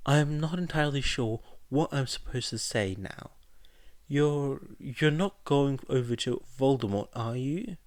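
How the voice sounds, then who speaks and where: Man voicing a halting, higher-pitched teenage boy. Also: a little mellow, dry recording, natural voice. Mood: stunned, worried. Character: teenage boy, bewildered, good-humoured loyal friend. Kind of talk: audiobook character dialogue.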